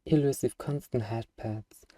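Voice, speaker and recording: A man's voice: medium pitch, measured pace, warm tone, no echo, distant mic, close to an everyday voice. A man playing an eager, bargaining demon who craves a cuddly pet, in a playfully earnest, wheedling ASMR roleplay monologue. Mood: coaxing, pleading.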